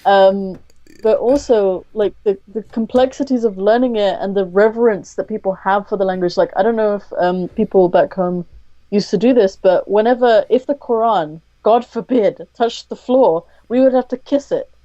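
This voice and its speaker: A woman guest on a podcast interview, speaking candidly in a thoughtful, measured way with frequent pauses to think. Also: mid-range voice, warm tone, no echo, dull audio.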